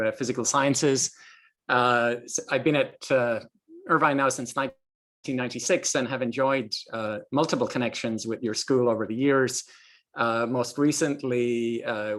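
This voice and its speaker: An Irish man in his sixties giving an academic overview lecture, measured and often pausing with "uh" between phrases. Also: medium pitch, a bit bright. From Ireland. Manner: measured, frequent hesitations.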